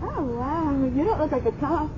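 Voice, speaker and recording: Excitable, rueful woman, animated voice. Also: high-pitched, moderate pace. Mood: wistful, gossipy. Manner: expressive, confessional. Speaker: radio drama actor. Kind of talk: 1970s radio drama acting. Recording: dull, noisy old radio broadcast.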